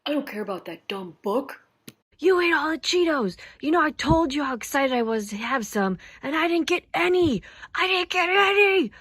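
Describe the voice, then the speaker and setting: A woman voicing a grumpy, easily offended puppet turtle in a children's skit, husky and huffy. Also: on the high side, animated, moderate pace. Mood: furious. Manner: huffy, emphatic complaining.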